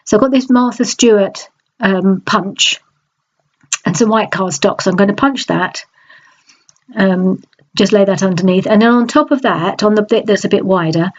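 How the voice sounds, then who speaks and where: A woman with a stuffy, nasal voice from a cold, chatting in a relaxed voice-over about a craft project, with many small restarts. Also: mid-range voice, lo-fi sound. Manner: chatty, hesitant, frequent restarts. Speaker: scrapbooking crafter. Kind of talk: process video voice-over.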